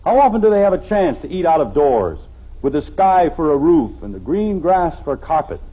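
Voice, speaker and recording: Exasperated American sitcom father, middle-aged, stubborn, lively swooping pitch, husky, old radio comedy. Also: normal speed. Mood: indignant. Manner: blustery, exasperated. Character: stubborn suburban father, testy and defensive. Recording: dull, lo-fi old radio recording.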